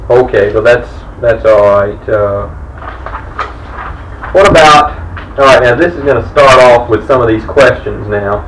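A man's voice: mid-range voice, measured pace, a bit bright, slight background noise. A man interviewing, asking questions with fillers and restarts. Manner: hesitant, many fillers and restarts as he asks. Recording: roomy, from far off the mic.

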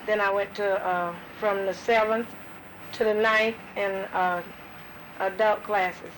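A woman's voice: medium pitch, steady tone, slow. Hesitant woman interviewee over tape hiss. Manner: hesitant, many fillers. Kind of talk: casual interview answers. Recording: noisy old field tape.

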